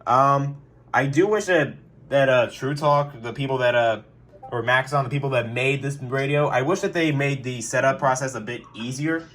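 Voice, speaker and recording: A man's voice: medium pitch, moderate pace, a bit bright. Rambling young man reviewing his gear. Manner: rambling, joking, many fillers. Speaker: weather radio collector. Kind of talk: casual review vlog. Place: his bedroom.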